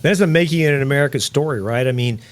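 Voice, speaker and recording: A man's voice: medium pitch, racing. A man co-hosting a podcast interview, talking in a chatty, rambling way with the odd joke. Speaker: podcast co-host.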